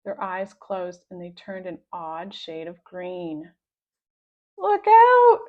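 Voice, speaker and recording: A woman reading aloud a children's story with expressive scripted narration, on a slightly dull recording. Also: lowish voice, slow. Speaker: storybook reader.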